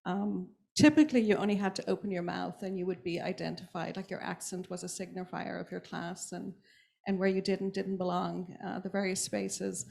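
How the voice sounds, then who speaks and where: A woman from Ireland with an Irish accent, an author speaking thoughtfully on a panel, pausing often with ums and restarts. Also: lowish voice, steady tone, vocal fry, darkish tone. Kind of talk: reflective panel talk.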